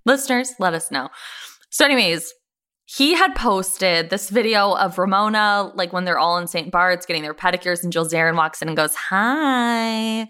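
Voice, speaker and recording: A woman co-hosting a podcast, casually chatting about pop culture and telling stories in a chatty, animated way. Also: mid-range voice, normal speed, clear, resonant tone. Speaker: podcast co-host.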